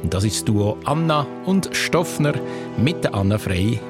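A man with a Swiss German accent reading scripted radio announcements in a smooth presenter style. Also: mid-range voice, animated, moderate pace, music in the background.

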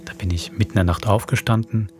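A man, the producer and host of a horror audio series, telling a spooky scripted introduction in a hushed way. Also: fairly low pitch, steady tone, quick pace, music in the background.

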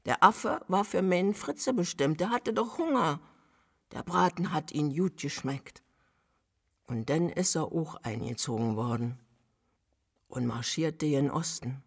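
Old berlin widow for a radio play, crackly raised voice, stubborn n proud, rambles on in dialect. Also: male voice, a little high, lively intonation, a little slow, creaky, breathy edge, full character voice. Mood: reminiscing, wistful. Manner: chatty, rambling reminiscence in colloquial dialect. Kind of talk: radio drama monologue.

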